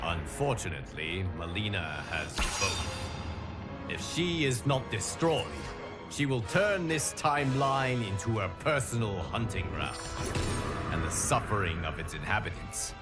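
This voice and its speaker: An actor playing a solemn guardian of timelines, narrating a video game story in a formal, measured, dramatic manner. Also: male voice, lowish voice, lively intonation. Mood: grave, ominous. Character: solemn male guardian of timelines addressing his champions. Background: music.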